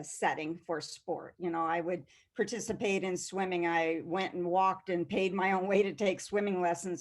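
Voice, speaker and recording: A woman in her sixties with a fairly low voice talks over a video call. She answers in an unhurried, modest way, pausing often with fillers as she gathers her thoughts. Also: darkish tone. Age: 60s. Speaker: guest athlete. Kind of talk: conversational interview answer.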